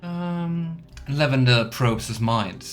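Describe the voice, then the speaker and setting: A man's voice: mid-range voice, expressive, slow. Man musing aloud over faint game music. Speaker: let's play host. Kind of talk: casual gameplay commentary with role-play.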